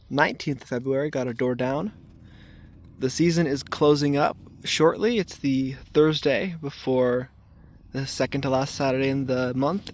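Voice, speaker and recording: A man with a raspy voice today, talking casually and thoughtfully to a camera while checking traps outdoors, with filler ums and restarts. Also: medium pitch. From southern Arizona. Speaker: trapper filming his trapline. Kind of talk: casual outdoor vlog narration. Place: outdoors in desert washes.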